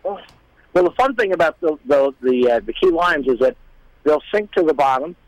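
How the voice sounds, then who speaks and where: Man, slightly high voice, casual guest chat, rambling explanations, dry close sound. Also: animated, moderate pace, no room sound, low-quality recording. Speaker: guest, musician.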